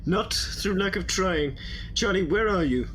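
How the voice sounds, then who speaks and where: A man's voice: high-pitched, a little slow, a bit bright, breathy edge, heavily acted. Man playing a whimsical, urgent time-travelling eccentric. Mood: wry, puzzled. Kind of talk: audio drama acting.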